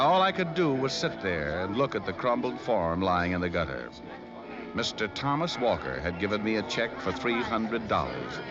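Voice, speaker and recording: An actor playing a cool, sardonic private detective in an old radio drama, with a dry, offhand delivery. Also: male voice, on the low side, lo-fi sound. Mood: grim narration. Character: wisecracking, cool-headed male private detective. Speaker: lead actor and first-person narrator in a radio detective drama. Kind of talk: old time radio detective acting and narration. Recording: noisy, band-limited vintage radio recording.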